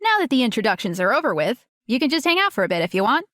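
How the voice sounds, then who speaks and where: A woman's voice: a little high, very animated, racing, a bit bright, no room sound. Woman acting a self-assured teenage girl, dry close recording. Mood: casual, friendly. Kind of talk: audio drama dialogue.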